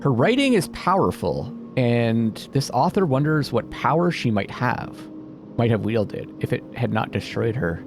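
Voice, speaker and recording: Male gaming host, mid-pitched, very lively delivery, thinks aloud and mutters, casual, game music underneath. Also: unhurried. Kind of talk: casual let's-play commentary with reading aloud. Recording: music behind the voice.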